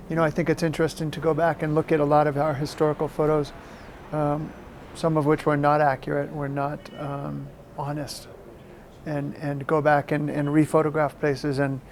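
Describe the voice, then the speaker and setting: A middle-aged man from Maine with an American accent, talking reflectively in an interview in a halting, thoughtful way. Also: on the high side, a little mellow, a little hiss or hum. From Maine, United States. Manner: halting, thoughtful, repeats words for emphasis.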